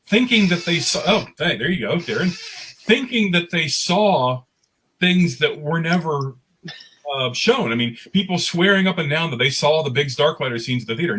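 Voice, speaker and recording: A man's voice: a little high, fairly bright tone, husky, poor audio. A middle-aged man telling anecdotes casually as a panelist in an online discussion. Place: remote online panel recorded at home.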